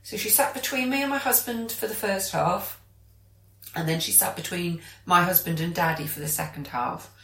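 A British woman talks casually in a slightly low voice, as a podcast co-host would. She is chatty and explanatory, and the recording is noticeably roomy and echoey. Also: moderate pace, vocal fry, pushed and bright. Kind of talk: casual chat.

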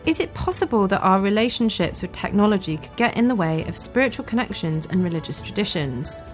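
A woman's voice: mid-range voice, expressive, brisk, soft background music. A woman hosting, speaking reflectively as she interviews and narrates. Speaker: host and interviewer.

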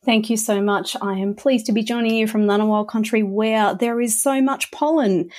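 A woman with a slightly croaky voice, speaking carefully and articulately as a panel guest. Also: fairly high pitch, fairly even intonation, moderate pace, darkish tone. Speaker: panel guest, arts think tank executive. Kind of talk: considered panel discussion.

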